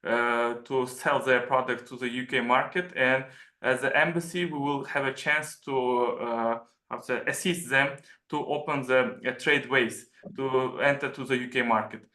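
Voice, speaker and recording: A man's voice: mid-range voice, steady tone, normal speed, sharp tone. A man from an embassy giving hesitant formal opening remarks on a webinar call. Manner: hesitant, many fillers. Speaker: embassy official. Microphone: video call. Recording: echoey room.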